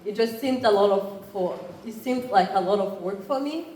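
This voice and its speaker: A woman in her thirties with a Rwandan accent addresses a conference room in a relaxed, conversational way. She often pauses and restarts her sentences. The room is noticeably roomy, with faint ambience behind her. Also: medium pitch, a little hiss or hum. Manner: conversational, with frequent fillers and restarts. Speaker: entrepreneurship program chair. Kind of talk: conference presentation.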